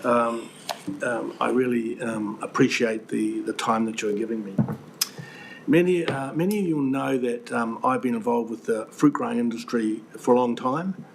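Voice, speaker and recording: An older man with a New Zealand accent, croaky from the flu, presenting earnestly and indignantly to a council committee with many ums and restarts. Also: medium pitch, normal speed, poor audio. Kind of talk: public submission to a committee. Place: council meeting room. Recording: webcast with faint room noise.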